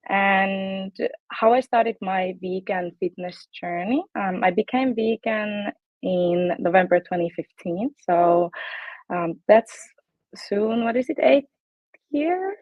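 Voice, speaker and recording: A woman's voice: medium pitch, takes it slowly, dry recording, phone-quality sound. A woman in her late twenties from Finland with a Finnish accent, chatting casually as a guest fitness coach in a bubbly way with plenty of ums. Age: 20s. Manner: bubbly, frequent ums and laughs. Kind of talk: casual interview chat.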